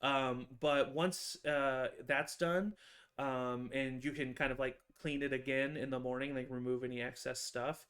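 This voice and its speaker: Slow, halting young man running a game. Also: medium pitch, steady tone, fairly bright tone. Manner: halting, many fillers and restarts. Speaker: game master. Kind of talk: narrating a tabletop game.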